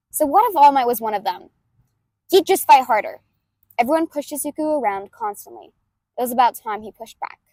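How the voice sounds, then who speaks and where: A woman with a high-pitched voice narrates like a hobby audiobook reader. Her delivery is expressive and informal. The sound is dry, free of room reflections. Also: no room sound, low-quality recording. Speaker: amateur fan fiction reader. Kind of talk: expressive story narration and casual asides. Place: outdoors in a park near a road.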